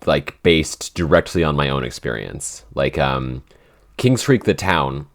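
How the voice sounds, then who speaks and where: A man's voice: very deep voice, lively intonation. A man from the Pacific Northwest, co-hosting a podcast as its writer, in casual chat full of "like" fillers and short laughs. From Pacific Northwest, USA. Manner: halting, many "like" fillers, laughs between phrases.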